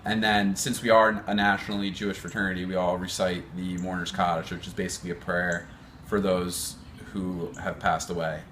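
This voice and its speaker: Young man, low deep voice, halting and sincere. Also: flat delivery, a bit bright, a little hiss or hum. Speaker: fraternity member. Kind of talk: interview.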